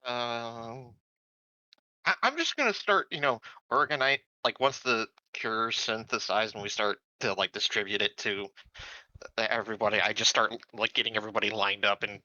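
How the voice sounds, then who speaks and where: A man's voice: lowish voice, normal speed, bright, forceful tone, no room sound, dull audio. A man chatting casually as a player in a tabletop game, describing what his character does.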